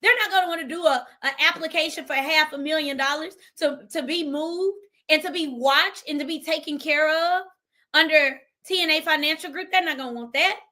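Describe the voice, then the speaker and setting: High bright-voiced woman preaching on a livestream. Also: a little fast. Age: 30s. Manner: preachy, emphatic, reads viewer comments aloud. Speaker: financial strategist and livestream host. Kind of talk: live stream motivational talk.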